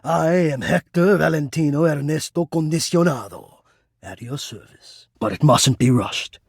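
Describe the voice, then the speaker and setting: Breathy, lovestruck, courtly gentleman. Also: fairly high pitch, dry recording, studio quality, full character voice. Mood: tender, hesitant. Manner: formal, halting, earnest, romantically intense. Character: shy, lovestruck, courtly living ventilation system, adult male. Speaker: video game voice actor. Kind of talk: dating game character voice acting.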